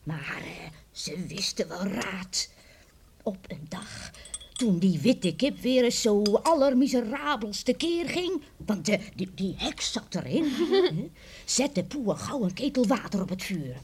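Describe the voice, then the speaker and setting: An actress playing a village woman who tells eerie folk tales to youngsters in an old radio play, in a folksy, drawn-out way. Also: medium pitch, big pitch swings, darkish tone, slightly rough, full character voice. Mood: eerie relish. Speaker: storyteller. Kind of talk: radio drama acting.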